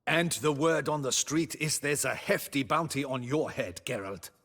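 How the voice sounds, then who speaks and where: A dutiful, brusque captain of the guard for a fantasy video game. His voice is husky and lively in pitch. He talks in formal, clipped, earnest sentences. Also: normal speed. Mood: tense, grim.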